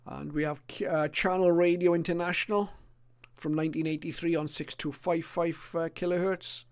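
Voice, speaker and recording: A middle-aged or older man presenting a casual illustrated hobby talk in a hesitant, unscripted way with frequent pauses. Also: on the high side, fairly even intonation, a little mellow, clean tone.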